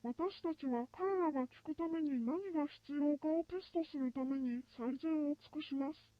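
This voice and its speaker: Found-tape horror: actress as formal female scientist, high register, dry, dull low-fidelity recording, scripted report. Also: fast, no room sound, natural voice. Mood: formal, detached. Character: formal female researcher reporting on a captured monster. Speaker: narrator of a found research tape.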